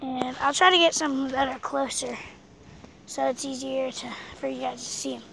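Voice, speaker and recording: A woman chatting casually in an outdoor vlog, filming by a pool on a handheld phone, loose with many fillers and dropping quiet while she searches. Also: high voice, fairly even intonation, moderate pace, vocal fry, slight background noise. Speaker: vlogger. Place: outdoors by an abandoned pool on rural land. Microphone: handheld camera phone filming the water.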